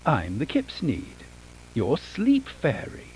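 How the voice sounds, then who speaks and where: A man voicing a falsely friendly winged fairy creature in a storybook horror tale, with drawn-out, beckoning, theatrical delivery. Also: on the high side, huge pitch swings, a crowd in the background, voice pushed up, full character voice, poor audio.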